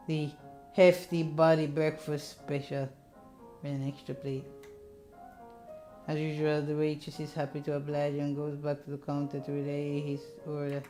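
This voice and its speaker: A woman with a low voice narrates a visual novel for a playthrough. She moves between plain story reading and playful, slangy remarks, with music playing underneath. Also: flat delivery, a little slow, warm tone. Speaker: let's player reading a visual novel aloud. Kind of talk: casual narration and commentary on a game.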